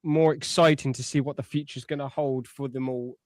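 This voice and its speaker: A man's voice: medium pitch, steady tone, fairly quick, no echo, clean studio sound. A man giving his opinions as a podcast co-host, in earnest, explanatory casual chat.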